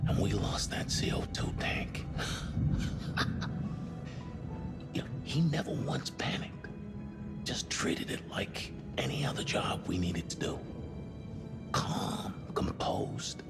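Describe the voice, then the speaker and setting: A middle-aged male astronaut in a sci-fi game, a gentle old family friend given to reminiscing. His voice is very deep and gravelly, often sinking close to a whisper. He takes his time with every thought. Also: very animated, takes it slowly, pauses often, loud music behind the voice, full character voice. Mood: nostalgic. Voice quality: gravelly, hushed, breathy. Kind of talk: cinematic game dialogue, intimate.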